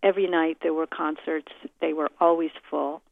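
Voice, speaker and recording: Phone-like, measured middle-aged American woman. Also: deep voice, fairly even intonation, gravelly, no echo. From United States. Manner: measured, deliberate, with frequent pauses. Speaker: interviewee, concert pianist. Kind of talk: reflective interview answers. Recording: muffled narrow-band phone or radio-like sound.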